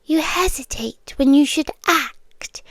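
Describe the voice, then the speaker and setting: A woman with a British accent playing a possessive, softly menacing yandere girlfriend in a hushed, intimate ASMR roleplay, close to the microphone. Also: high voice, dry recording. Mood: patient, chiding. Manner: hushed, often whispering, deliberate pauses.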